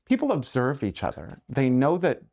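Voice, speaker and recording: A man's voice: medium pitch, moderate pace, highs cut off. Man in his forties, a podcast guest, reflective and well-spoken, mid-range voice. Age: 40s. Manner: articulate and reflective, with sudden lively rises. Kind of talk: casual chat.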